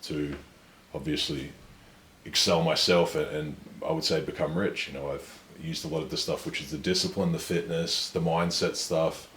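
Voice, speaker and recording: An extremely deep-voiced young man, speaking in a measured and self-assured way. His voice sounds roomy, and a faint ambient hum sits behind it. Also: steady tone, a bit bright. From Australia. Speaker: guest. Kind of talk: casual conversation. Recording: echoey room with faint ambience.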